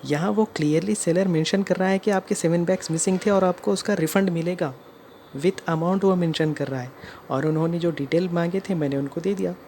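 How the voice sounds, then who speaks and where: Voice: medium pitch, a little fast, warm tone. An Indian vlogger explaining a personal customer complaint in narration that mixes in English phrases. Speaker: customer narrating own complaint as video creator. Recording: clear background noise.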